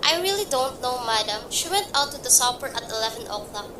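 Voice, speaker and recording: A woman's voice: lively intonation, fairly quick, bright, forceful tone. A woman in a student radio play, voicing a polite, guarded hospital receptionist who speaks formally and patiently. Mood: measured. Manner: formal, patient, repeats "madam". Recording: distant echoey mic, rumbling noise.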